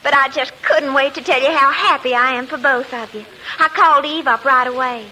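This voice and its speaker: A woman's voice: high voice, fairly quick, pushed and bright. An actress playing a coquettish, catty lady in a 1940s radio comedy, gushing in a sugary, effusive manner. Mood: sweetly catty. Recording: faintly noisy old 1940s radio recording.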